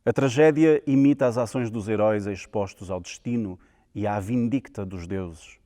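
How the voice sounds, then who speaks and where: A man's voice: lowish voice, normal speed, warm tone. A man with a European Portuguese accent reading a scripted educational narration. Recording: long hall reverb.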